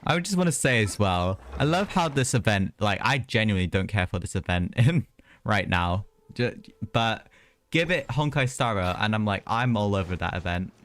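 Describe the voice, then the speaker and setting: A man's voice: on the low side, lively intonation, normal speed, a bit bright, slight background noise. A man giving casual, off-the-cuff live commentary while streaming a video game. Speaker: game livestreamer.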